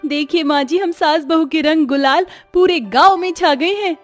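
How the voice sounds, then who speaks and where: A woman's voice: sits high, moderate pace, soft background music, voice pushed up, natural voice. A woman voicing a caring, sensible young village daughter-in-law in a family story. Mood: pleased.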